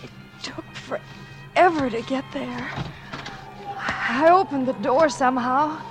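Vintage radio thriller, music under: calculating femme fatale, coy yet cold-blooded, theatrical confessional delivery. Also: female voice, sits high, takes it slowly. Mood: tense, shaken. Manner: dramatic, shifts from coy murmurs to tense confiding. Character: scheming, cold-blooded former actress turned murderess, narrating her crime. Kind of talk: 1940s radio drama acting with confessional narration.

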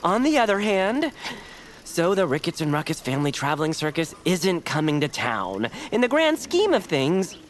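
A woman's voice: on the low side, very animated, normal speed, a little hiss or hum. Earnest, dramatic young boy. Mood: dismayed. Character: earnest, upbeat boy determined to cheer up town. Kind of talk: audio drama acting.

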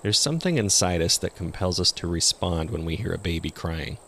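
A man's voice: deep voice, expressive, brisk, vocal fry, fairly bright tone, a little hiss or hum. A man hosting and narrating a podcast, reading from a script.

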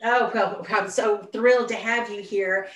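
A woman's voice: medium pitch, steady tone, unhurried, fairly bright tone. A woman hosting a program, introducing and interviewing a guest with enthusiasm. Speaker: radio program host. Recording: roomy and distant from the mic.

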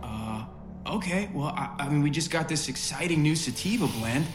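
A man's voice: on the high side, lively intonation, normal speed, natural voice. An actor playing an uneasy male cannabis shop clerk, hesitant and stammering, in a comic horror audio drama. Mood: awkwardly helpful. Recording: music behind voice.

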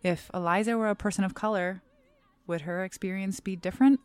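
A young adult woman, a stage actor, reading a scripted personal essay aloud in a measured, earnest, clearly enunciated way. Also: medium pitch, steady tone, a little mellow, no room sound, soft background music.

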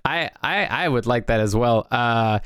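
A man's voice: medium pitch, measured pace, a bit bright, clear, resonant tone, dry recording. Man co-hosting a casual discussion, dry close sound. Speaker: podcast host. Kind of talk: casual discussion of video game movies.

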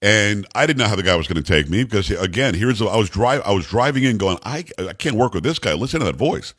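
Male talk radio host, deep bass voice, loud and upbeat, dry studio sound. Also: lively intonation, fast, husky, dry recording. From New York. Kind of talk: energetic talk radio monologue.

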